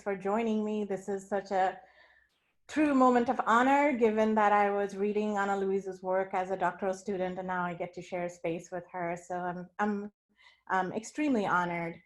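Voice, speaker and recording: A woman's voice: mid-range voice, steady tone, normal speed. A woman from India giving an academic keynote talk over a video call, speaking in an earnest, reflective way. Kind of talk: academic talk sharing her screen.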